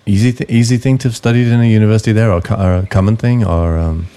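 A man's voice: fairly low pitch, steady tone, fast, warm tone, smooth. A man co-hosting a casual interview, asking questions with frequent restarts. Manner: stumbling, many restarts. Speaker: podcast co-host.

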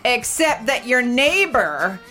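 Bright-voiced woman with a lisp, chatty. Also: a little high, slow pace, soft background music. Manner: chatty, animated storyteller. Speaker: radio co-host. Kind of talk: casual radio banter.

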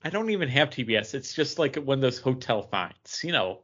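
Forceful, bright-voiced man chatting casually. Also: mid-range voice, lively intonation, fairly quick, husky, dull audio. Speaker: podcast host. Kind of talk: casual chat, telling anecdotes.

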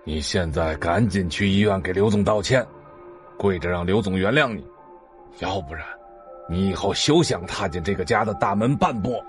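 A gruff, contemptuous middle-aged patriarch from an audio drama played by a man. His voice is very deep and domineering, with faint music underneath. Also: moderate pace, close to an everyday voice. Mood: enraged.

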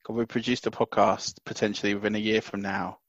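A man with a lowish voice talks loudly and eagerly, tripping over his words and restarting sentences as he co-hosts a podcast. The sound is dry and slightly dull, recorded at home in a small house. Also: quick pace, dry recording. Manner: excitable, stumbling restarts, loud. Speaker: podcast co-host. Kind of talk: casual podcast chat.

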